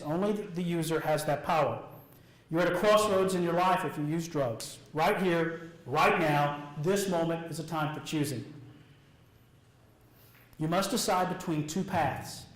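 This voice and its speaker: A man delivering a prepared, earnest motivational speech to an audience in a deliberate way, with short emphatic phrases and pauses. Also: a little high. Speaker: public speaker. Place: indoor room before an audience. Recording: roomy echo of a speech venue.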